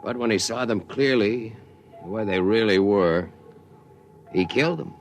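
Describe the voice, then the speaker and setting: An actor playing a sober, guarded spaceship officer in a 1950s radio drama, humane and reflective. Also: male voice, on the low side, measured pace, highs cut off, soft background music, close to an everyday voice. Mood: reflective, subdued. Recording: noisy vintage radio recording.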